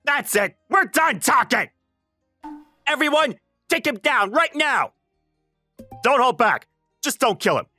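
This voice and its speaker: A man's voice: very high-pitched, big pitch swings, slow pace, long pauses, pushed and bright, soft background music, exaggerated voice. A man voicing a spoiled, hot-headed elite schoolboy bully in an anime-style comic dub, haughty, indignant and whiny. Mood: furious commanding.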